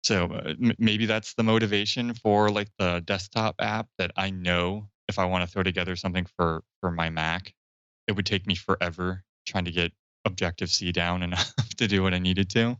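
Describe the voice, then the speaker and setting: A man with a very deep, smooth voice chats on a podcast, thinking aloud and peppering his talk with "like". The sound is dry and close, slightly dull. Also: steady tone, normal speed, fairly bright tone, no echo. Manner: chatty, thinking aloud, "like" fillers. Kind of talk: casual panel chat.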